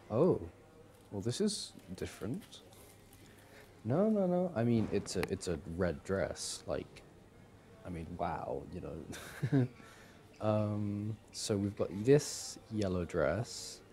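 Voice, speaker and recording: A young man performing casual ASMR boyfriend roleplay as an easygoing, affectionate partner, talking in a hesitant, halting way. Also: fairly low pitch, expressive, dark tone. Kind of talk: ASMR boyfriend audio roleplay, casual one-sided chat. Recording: clearly audible background noise.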